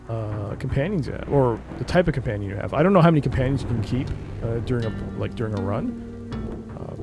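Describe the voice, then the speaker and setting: A man with a mid-pitched voice talks through a video game in a casual, explanatory way. He moves between informal chat and reading game text aloud, with music clearly playing behind him. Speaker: gaming video creator.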